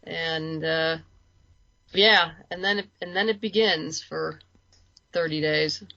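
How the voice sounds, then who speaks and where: A woman talks casually as an interview guest, in a chatty, informal way. Her voice is bright and a little low. The sound is dry and somewhat muffled. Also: fairly even intonation, slow, creaky. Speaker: interview guest, comic and audio drama creator. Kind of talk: casual podcast chat.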